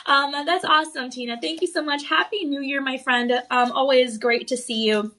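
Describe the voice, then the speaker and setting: A woman hosting a live home shopping show, bubbly and gushing, her voice slightly raspy from a cough. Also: high voice, fairly even intonation, moderate pace, pushed and bright, a little hiss or hum. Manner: bubbly and effusive, gushing over the product. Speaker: shopping channel host.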